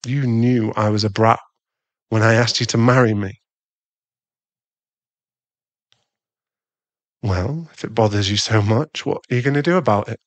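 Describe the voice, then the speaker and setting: A man's voice: on the low side, no echo, lightly acted. A man playing a jealous, doting werewolf husband in an intimate ASMR boyfriend roleplay, teasing and a little bratty. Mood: playful, bratty. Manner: slow, intimate, teasing, with sighs and stammers.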